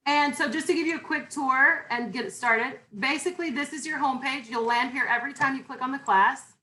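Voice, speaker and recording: A loud-voiced woman leads an online class over a video call. She talks in a chatty, informal way, rambling a little and restarting her sentences as she goes. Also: high-pitched, fairly quick, slight background noise, rough recording. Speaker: university instructor. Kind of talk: online class welcome and syllabus talk.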